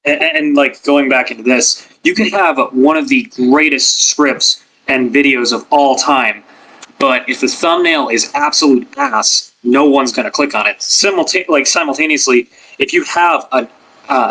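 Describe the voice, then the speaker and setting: Bright-voiced man chatting casually. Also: medium pitch, low-quality recording. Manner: rambling, filler-heavy, many "like"s. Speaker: podcast panelist, ASMR creator. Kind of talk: casual chat.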